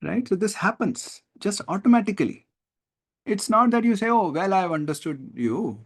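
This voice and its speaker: A man with an Indian accent teaching a live online lesson, stressing key words deliberately and checking in with questions. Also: high voice, lively intonation, breathy edge. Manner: deliberate, emphatic word-by-word stress, rhetorical questions. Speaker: teacher, test-prep coach. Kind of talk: live online lesson, explaining reading comprehension.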